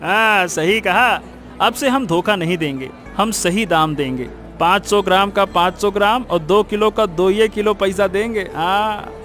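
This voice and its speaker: A man puts on an eager, deferential cartoon villager. The voice is very high and slow, with faint music behind. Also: a bit bright. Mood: eager, agreeable. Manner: eager, agreeing. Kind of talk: scripted cartoon story acting.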